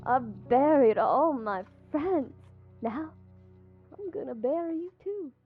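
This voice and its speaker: A woman playing a lonely young gravedigger in a visual novel, resigned and quietly deranged, speaking haltingly and matter-of-factly about morbid things. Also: high voice, animated. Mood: resigned, sinister. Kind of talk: visual novel character voice acting. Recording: thin phone-like audio with music behind. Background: music.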